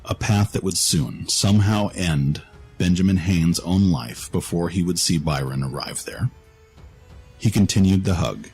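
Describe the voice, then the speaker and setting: Male narrator, very low pitch, dramatic scripted fantasy storytelling, soft music behind.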